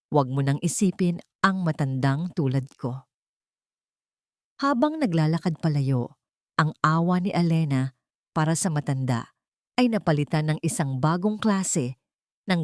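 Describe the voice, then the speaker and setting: A woman's voice: sits low, a little mellow, no echo, clean studio sound. A woman narrating a scripted dramatic story as a storyteller.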